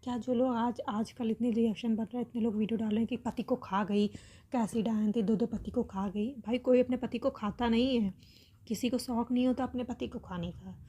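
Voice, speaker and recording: High, mellow-voiced woman giving earnest commentary. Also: fairly even intonation, fairly quick, breathy edge. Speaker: reaction video host commenting on a family controversy. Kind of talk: opinion commentary addressed to viewers.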